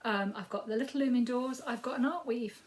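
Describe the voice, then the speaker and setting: Croaky Yorkshire woman in her fifties. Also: on the high side, a little fast. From Yorkshire, England. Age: 50s. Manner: rambling, with frequent ums and trailing asides. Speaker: crafting podcast host. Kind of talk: casual chatty crafting update.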